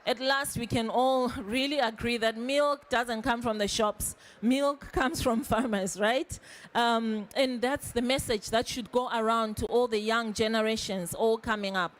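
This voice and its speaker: A woman's voice: a little high, fairly even intonation, measured pace. A young woman from Zimbabwe speaking at a conference panel, earnest and growing more emphatic as she goes.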